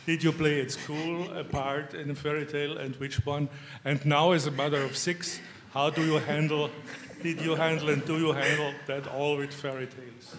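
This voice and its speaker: A man's voice: fairly high pitch, little pitch movement, normal speed. A male journalist putting a string of questions at a press conference. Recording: echoey room, faint noise.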